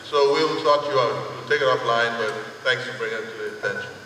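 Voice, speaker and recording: A man's voice: mid-range voice, fast, noisy background. A man answering a question as a government official at a town hall, hesitant and reassuring. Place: large sports hall. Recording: echoey hall, heard from far off.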